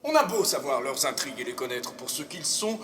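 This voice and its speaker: An actor playing a cynical, swaggering aristocratic seducer in a classical French theatre monologue, grandiloquent and swooping between loud boasts and hushed asides. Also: male voice, mid-range voice, very animated, brisk. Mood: cynical, scornful. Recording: echoey, reverberant hall.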